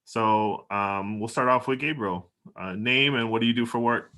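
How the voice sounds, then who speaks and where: A man from El Salvador opens a panel discussion and speaks in an earnest, reflective way, as if reading from prepared notes. His voice has a bright, forward edge, and his pitch sits in the middle range. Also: moderate pace. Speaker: moderator, doctoral student. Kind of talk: prepared opening remarks.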